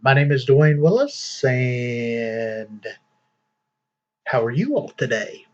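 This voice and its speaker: Slow, deliberate man hosting a vlog. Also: mid-range voice, sing-song, lo-fi sound. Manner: slow, deliberate, with many fillers and long pauses. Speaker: vlog host. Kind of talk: casual news commentary monologue.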